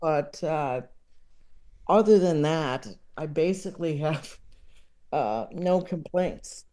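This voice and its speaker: Thoughtful interview guest, slow and searching delivery, soft mellow tone, casual and reflective, often doubling back on words. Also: mid-range voice, lively intonation. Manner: halting, thoughtful, repeats words while searching for phrasing. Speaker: podcast guest, comedy enthusiast.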